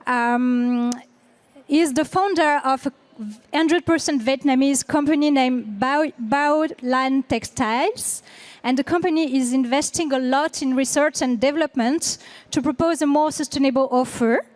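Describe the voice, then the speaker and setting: A woman moderating a seminar, introducing the next speaker to the audience in a formal but halting way. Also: sits high.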